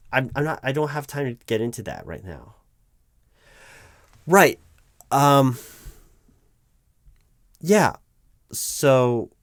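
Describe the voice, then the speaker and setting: A man's voice: fairly low pitch, dry recording, studio quality, natural voice. A man playing a flustered, exasperated recruiting manager in a one-sided office roleplay, stammering and often correcting himself. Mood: awkward, hesitant. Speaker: roleplay performer. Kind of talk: improvised one-sided roleplay, loud spoken ASMR.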